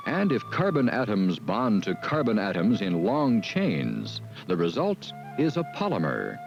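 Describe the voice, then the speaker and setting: Male narrator for a vintage training film: lower voice, very animated intonation, dull lo-fi soundtrack with music. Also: creaky. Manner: measured, deliberate delivery. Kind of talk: scripted educational narration.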